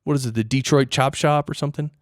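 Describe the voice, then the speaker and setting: A man's voice: medium pitch, steady tone, fast, no room sound, studio quality. A man hosting a podcast, chatting casually with his guest in an interview. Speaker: podcast host.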